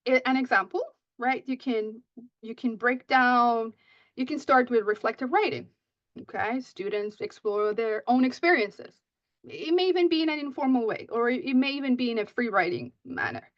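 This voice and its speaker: A woman's voice: on the high side, dry recording. A woman whose first language is Persian, giving a conference talk on teaching writing, often asking "right?" and restarting her sentences. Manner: frequent "right?" checks, many restarts. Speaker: writing instructor. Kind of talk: conference presentation. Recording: muffled dry audio.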